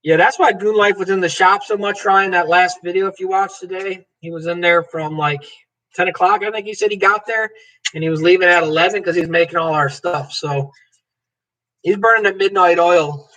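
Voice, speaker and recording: A man's voice: sits high, steady tone, normal speed, sharp tone, rough recording. A man hosting a live stream, chatting loosely and joking as he reads viewers' comments aloud and answers them by name. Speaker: livestream host. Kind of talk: casual livestream chat reading comments.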